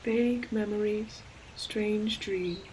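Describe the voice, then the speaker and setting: Woman doing an ancient mossy forest demon, really slow and tender, old storybook talk, audio rp with forest noises behind. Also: medium pitch, fairly even intonation, a little mellow, slight background noise, natural voice, low-quality recording. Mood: gentle, searching. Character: ancient gentle forest demon, hulking moss-covered creature. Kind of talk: scripted audio roleplay monologue. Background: forest nature sound effects with animals.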